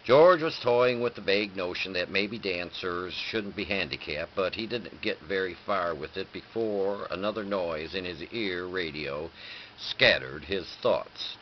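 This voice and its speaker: Deep-voiced man reading, muffled noisy recording. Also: a little slow. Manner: occasional stumbles and self-corrections. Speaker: reader. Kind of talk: scripted story narration. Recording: dull lo-fi recording with clear background noise.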